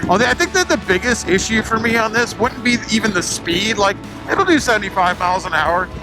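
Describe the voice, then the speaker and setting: A man's voice: high-pitched, lively intonation, brisk, music in the background, rough recording. A man hosting a motorcycle vlog with casual, slangy commentary and self-deprecating jokes.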